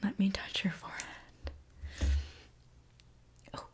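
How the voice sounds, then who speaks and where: A woman whispering softly in an ASMR roleplay as a friendly, caring mechanic, with a gentle, soothing manner. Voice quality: breathy soft whisper. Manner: gentle, unhurried, soothing reassurance. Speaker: ASMR performer playing a robot mechanic.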